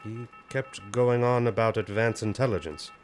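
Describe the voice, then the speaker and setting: A man's voice: lowish voice, moderate pace, faint music behind, lightly acted. A man acting in an audio drama as an anxious, protective male professor, questioning and uneasy. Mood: worried.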